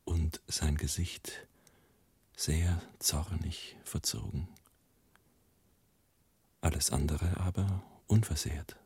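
An actor playing a solemn friend recounting a death in a German radio play, in a breathy, half-whispered voice with grave, halting phrases. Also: male voice, a bass voice, steady tone, a little mellow, no room sound, exaggerated voice. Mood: grim, hushed.

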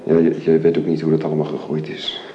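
An actor in a radio drama playing an anguished, middle-aged husband and father, speaking haltingly and pleadingly. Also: very deep voice, monotone, highs cut off. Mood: troubled, confiding. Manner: halting, pleading, repeats himself. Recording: roomy echo, faint background noise.

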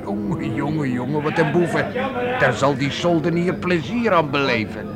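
A man's voice: fairly high pitch, lively intonation, normal speed, over loud music, close to an everyday voice. An actor playing a good-natured, chatty young man in a radio play. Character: Jeroen, good-natured young male helper.

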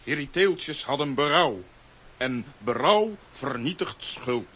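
A man's voice: mid-range voice, lively intonation, takes it slowly, a bit bright, a little hiss or hum. A man in a children's radio play voicing a blunt, good-hearted strongman who talks in clipped phrases. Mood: assured. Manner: clipped, article-dropping phrases.

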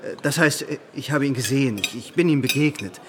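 A man's voice: medium pitch, brisk, a bit bright, a little hiss or hum, natural voice. A man playing an earnest, shy young law student in a radio drama, politely formal and a little hesitant. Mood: eager, flustered. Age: young adult.

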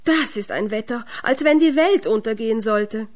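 Female storyteller as flustered miller's wife, scheming, sugary, expressive, storybook character. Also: fairly high pitch, normal speed, lightly acted. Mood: falsely sweet.

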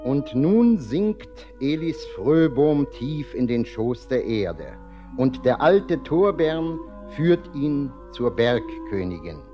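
A man's voice: medium pitch, sing-song, takes it slowly. A man as the narrator of a classic radio drama, telling the story solemnly. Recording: music behind the voice. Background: flute music.

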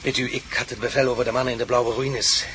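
A man's voice: mid-range voice, flat delivery, quick pace, noisy background, lightly acted. A man playing a courteous, earnest Prussian nobleman with a German accent in a Dutch radio drama. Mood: earnest, explaining. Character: courteous Prussian nobleman, defector from a villain's camp.

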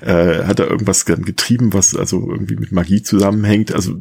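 A man explaining things as an expert guest in casual conversation, rambling and hesitant, often pausing and repeating himself. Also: sits low, steady tone, creaky, darkish tone, husky. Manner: hesitant, rambling, with frequent filled pauses and repetitions.

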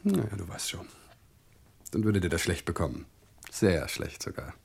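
A touchy hired gunman with a lowish, husky voice. He is curt and menacing, yet deferential to his boss, in the style of 1960s German radio crime drama. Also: animated, moderate pace, full character voice. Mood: threatening, contemptuous.

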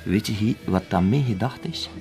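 A man's voice: lowish voice, moderate pace, over music, lightly acted. A man playing a chatty, gossipy café keeper with a Flemish accent in a radio drama, talking in a chummy, colloquial way. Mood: excited, gossipy.

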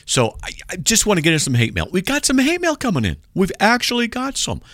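A man with a deep voice hosting a call-in radio show, joking and sarcastic as he reads out mail. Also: wildly swooping pitch, brisk, a bit bright, dry recording, clean studio sound.

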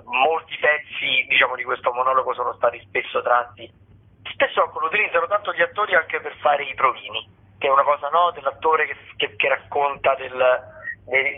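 A man, a regular radio critic, informally presenting event listings in a halting, wordy, digressive way over the phone. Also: mid-range voice, slightly rough. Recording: muffled, narrow-band phone line.

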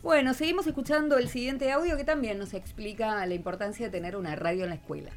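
A woman's voice: fairly high pitch, fast, over music. A woman with a Rioplatense Argentine accent, interviewing as a radio host in an encouraging, conversational manner. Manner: encouraging, responsive.

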